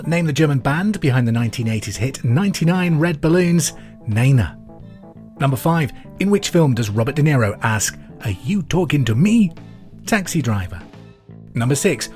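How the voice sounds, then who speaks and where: A man hosting a pub quiz podcast, reading questions and answers in a clear, deliberate way with casual asides. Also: a little high, a little mellow. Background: music under the voice.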